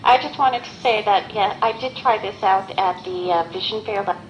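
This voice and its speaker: A woman's voice: mid-range voice, moderate pace, faint music behind. A woman sharing a chatty, upbeat comment at a meeting. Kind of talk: casual comment. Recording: dull lo-fi line with faint noise.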